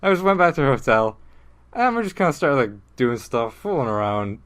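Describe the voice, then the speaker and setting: A young man co-hosting a casual comedy podcast, chatting and telling stories in a halting, giggly way. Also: mid-range voice, big pitch swings, a bit bright, smooth. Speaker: podcast co-host.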